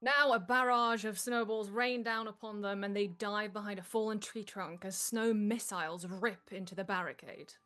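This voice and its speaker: A woman's voice: mid-range voice, steady tone, normal speed, hardly any pauses, a bit bright. A woman reading scripted stage directions as narrator at a comedic live table read.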